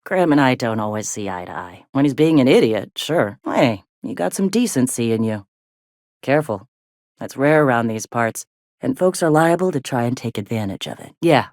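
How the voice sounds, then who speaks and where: A woman voicing a gruff, plainspoken middle-aged frontier medic in a video game, with a blunt, folksy way of talking. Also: a bass voice, lively intonation, moderate pace, a little mellow, dry recording, studio quality. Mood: frank. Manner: folksy, blunt, drawling slang with swearing. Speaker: video game character, medic and deputy leader.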